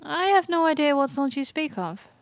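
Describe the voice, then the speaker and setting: A woman's voice: high-pitched, expressive, moderate pace, dry recording. A woman playing a proud, sulky young princess in a fantasy audio drama, with a formal and petulant way of talking. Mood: evasive, petulant.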